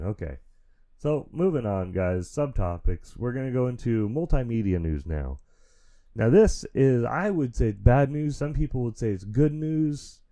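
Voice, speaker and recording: A man hosting his own show, rambling casually and frankly while feeling sick and stuffy, into a studio mic at home. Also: dark tone. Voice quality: naturally deep voice. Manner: rambling, frank, chuckles often. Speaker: podcast host. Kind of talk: casual solo chat. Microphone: studio mic through audio interface.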